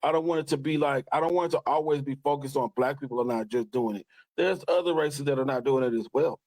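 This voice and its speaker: A man's voice: medium pitch, fast talker, darkish tone, dry recording, rough recording. A man in a casual panel debate, pushing back argumentatively and repeating himself as he makes his case. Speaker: podcast panelist.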